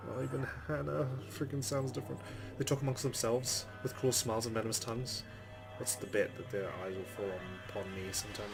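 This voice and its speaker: A man's voice: medium pitch, flat delivery, a little fast, vocal fry. A man hosting a let's play, reading game narration aloud dramatically and adding muttered, sweary asides. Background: game music.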